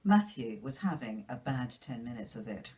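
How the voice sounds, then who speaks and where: A woman reading a children's classic aloud as a storyteller, in an expressive, measured narrating style. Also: extremely low pitch, distant mic.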